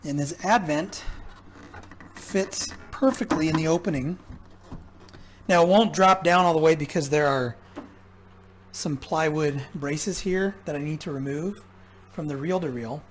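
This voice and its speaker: A man talking casually and haltingly as he thinks aloud, giving hands-on commentary as a repair vlogger. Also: a little high, a little hiss or hum. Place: indoor repair workshop.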